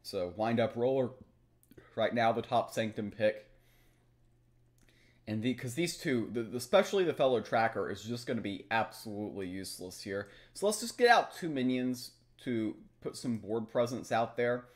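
A man's voice: mid-range voice, lively intonation, moderate pace. A young adult man giving live, analytical commentary on a game as he plays, thinking aloud through each move. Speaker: streamer, card game player. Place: small room at home, indoors.

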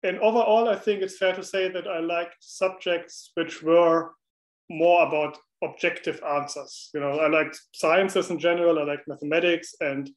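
A man from Germany with a German accent, presenting a mathematics webinar talk over a video call in a relaxed, friendly way with some fillers. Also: a little high, normal speed. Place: indoor room, on a video call. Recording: roomy echo on a video call.

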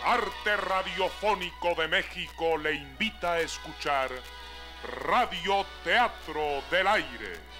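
A man's voice: high-pitched, lively intonation, slow. A man announcing a radio drama in a grand, declamatory vintage style. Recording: old radio broadcast under loud music.

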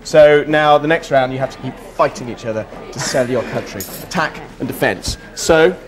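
A man's voice: mid-range voice, normal speed, a crowd in the background. A man hosting a TV cooking show, playing a referee with teasing, jokey sports-style banter in a studio kitchen. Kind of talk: playful presenting. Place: TV studio kitchen set with a live audience. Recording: TV studio with audience noise.

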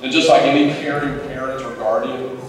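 A man's voice: mid-range voice, fairly even intonation, a little fast. A middle-aged man giving a formal commencement address to graduates from a podium in a large hall. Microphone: podium microphone. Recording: echoey hall from far off, faint hiss.

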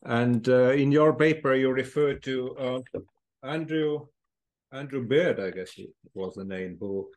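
Hesitant Estonian-accented interviewer, plain voice. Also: male voice, medium pitch, measured pace, a little mellow. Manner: hesitant, stumbling questions. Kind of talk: video call interview questions. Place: library room lined with bookshelves. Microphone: video call webcam.

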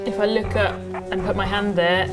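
A woman's voice: medium pitch, flat delivery, normal speed. A woman reporter trying out a virtual cockpit and asking questions in a lab. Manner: curious, reacting with short affirmations. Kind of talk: presenter piece to camera and interview. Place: indoor lab. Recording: music bed underneath.

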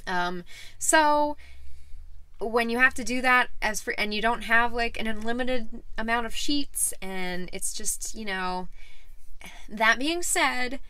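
A young woman talking in a casual, chatty way, her voice bright and clear. She sprinkles her talk with fillers and quick laughs. The sound is close and dry, with no room echo. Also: on the high side. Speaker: co-host. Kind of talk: casual chat. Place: small boat cabin, indoors.

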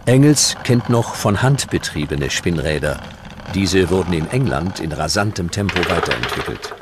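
Very deep-voiced male documentary narrator. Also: expressive, moderate pace, noisy background. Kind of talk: scripted historical narration. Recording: music behind the narration.

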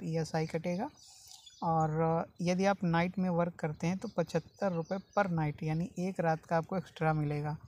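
A man hosting a job-vacancy channel, talking viewers through an opening in a steady, explanatory voice-over that keeps addressing them as friends. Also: a little high, slight background noise. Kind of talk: informal informational voice-over. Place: voice-over over a phone screen recording.

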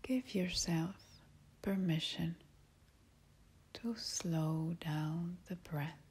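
A woman leading a guided meditation as an instructor, speaking in a soft, half-whispered, breathy voice, calm and soothing with long pauses. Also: fairly low pitch. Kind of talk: slow guided meditation narration.

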